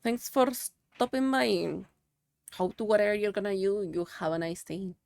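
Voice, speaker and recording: A young woman, a native Spanish speaker, talks into a desk microphone on a live stream, and her voice sounds close and dry with no room around it. She keeps up a casual, chatty patter, thanking viewers and murmuring notes to herself while she works. Her pitch sits in a middle range for a woman. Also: normal speed, gravelly, a little mellow, no room sound.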